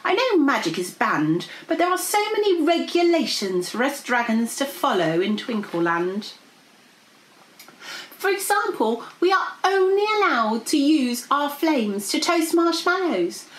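A woman's voice: high-pitched, sing-song, a little slow, a bit bright, roomy sound, pitched above the performer's own voice, full character voice, low-quality recording. A woman voicing an anxious, sheepish female dragon in a storybook, theatrical and flustered. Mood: sheepish, defensive.

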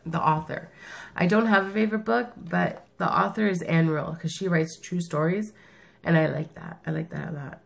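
A woman's voice: lowish voice, gravelly. A middle-aged woman whose first language is Italian, chatting casually and rambling through a tag video while sick, recorded on a webcam in a small room. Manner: chatty, rambling, self-correcting. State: sick, rough voice. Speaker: vlogger.

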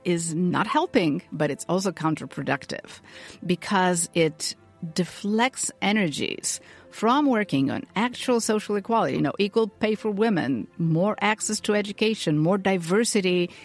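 A woman from Bulgaria, interviewed as an advocate against censorship, speaking in a measured and earnest way. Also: lowish voice, soft background music. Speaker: free speech advocate, interviewee. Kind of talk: thoughtful interview answers.

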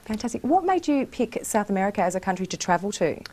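A young woman hosting a studio interview show, upbeat and quick with reactions, on a lapel mic. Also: medium pitch, very animated, warm tone. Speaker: host. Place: small studio with curtain backdrop.

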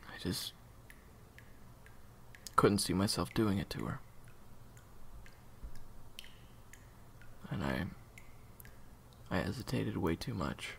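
A man playing a guarded younger brother turned betrayer in an audio roleplay, answering in a terse, evasive, halting way. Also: sits low, vocal fry, fairly bright tone, slight background noise, full character voice. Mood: reluctant, confessional. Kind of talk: audio roleplay drama acting.

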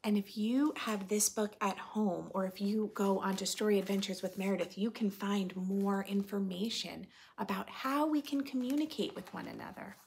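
A woman in her thirties reading a picture book aloud to preschoolers, expressively, in simple phrases with drawn-out key words. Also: medium pitch, gravelly, a little mellow, a little hiss or hum, poor audio. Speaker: host of a children's read-aloud show. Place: indoor room with bookshelf and armchair.

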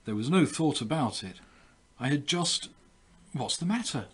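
A man voicing an elderly father, subdued and low, slow to grasp things. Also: expressive, a bit bright, breathy edge. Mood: stubborn. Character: elderly slow-witted father, stubborn then dazed.

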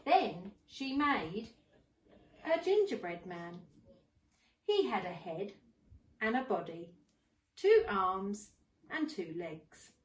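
Slow, animated woman reading a storybook. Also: medium pitch. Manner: deliberate, animated. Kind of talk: expressive storybook narration for young children. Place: living room at home. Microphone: camera a few metres away. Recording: roomy, distant camera microphone.

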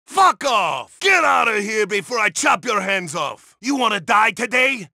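Nasty crime boss for a game, yelling threats real high and strained, bullying everyone. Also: male voice, very animated, unhurried, gravelly, no room sound, studio quality, exaggerated voice. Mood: angry, threatening. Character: rich, menacing, foul-mouthed male crime boss. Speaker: video game character. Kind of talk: video game combat barks.

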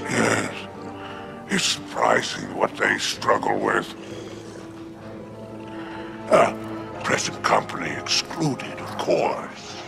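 A man playing a sly, self-important alien crime boss in a video game cutscene, gravelly, sardonic and oily. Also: on the low side, expressive, slow pace, pauses often, full character voice. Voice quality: gravelly, creaky. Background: music.

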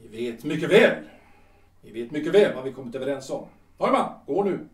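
An actor playing a haughty, fussy café owner in a period audio drama, pompous and hesitant in manner. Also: male voice, a little high, lively intonation, close to an everyday voice. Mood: irritated, commanding. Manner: pompous, hesitant with drawn-out ers. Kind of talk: period audio drama acting, 1930s setting. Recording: roomy, slightly distant microphone.

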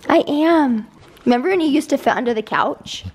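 A young American woman with a slightly hoarse voice, chatting casually to a handheld camera at home as a family vlogger. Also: high-pitched, expressive, brisk. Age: young adult. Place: kitchen and living room at home. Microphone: camera held at arm's length.

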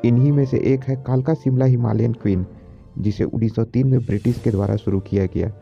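A man's voice: medium pitch, fairly even intonation, fast, vocal fry, highs cut off, faint music behind. A young man narrating a travel vlog as its host. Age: young adult. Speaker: travel vlogger.

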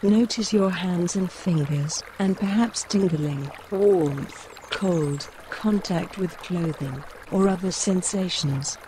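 A woman guiding a mindfulness body scan, giving gentle, measured instructions with pauses between phrases. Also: fairly low pitch, noisy background. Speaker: meditation guide. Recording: running stream water behind voice. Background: trickling woodland stream.

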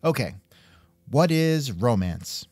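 A man's voice: mid-range voice, very animated, slow pace, a little mellow, no echo. A man hosting an interview in a casual, musing way, with wry asides and thoughtful hmms. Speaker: podcast host and interviewer. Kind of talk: casual scripted interview chat.

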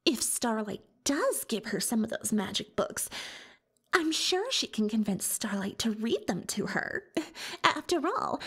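Slow, high-pitched boastful unicorn pony played by a woman. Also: heavily acted. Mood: flustered, evasive. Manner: pompous, third-person bragging, hesitant when cornered. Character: boastful, defensive female unicorn pony magician turned librarian. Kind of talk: ASMR roleplay monologue.

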